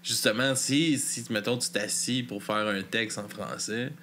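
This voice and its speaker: A fairly low-voiced man with a Québécois French accent, bright and forceful in tone. He hosts a casual music podcast interview, talking loosely and swearing freely. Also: fairly even intonation, a little slow, slight background noise. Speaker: podcast host.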